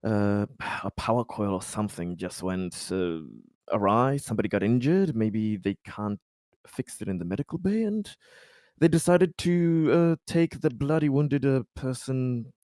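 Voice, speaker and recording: A man playing a tabletop game, talking through the situation in deliberate, rather formal wording. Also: medium pitch, animated, a little mellow, no room sound, clean studio sound. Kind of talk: casual in-game discussion.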